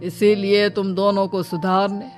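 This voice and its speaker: A woman voicing a wise male holy man who gives gentle, measured advice in an animated moral story. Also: medium pitch, faint music behind, voice pushed down, lightly acted. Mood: calm, explaining. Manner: slow, measured, counselling.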